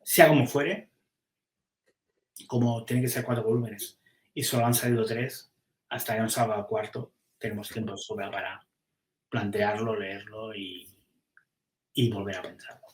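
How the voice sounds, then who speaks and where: Low-voiced man in a roomy echo. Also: steady tone, normal speed, pauses often. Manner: thinking aloud, many restarts and hesitations. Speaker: comics publisher. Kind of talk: casual podcast chat.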